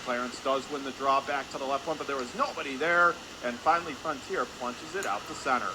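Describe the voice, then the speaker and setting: A man calling live ice hockey play-by-play for radio in clipped phrases, getting excited on scoring chances. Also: medium pitch, a little fast. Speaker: play-by-play announcer. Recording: clear background noise, arena ambience.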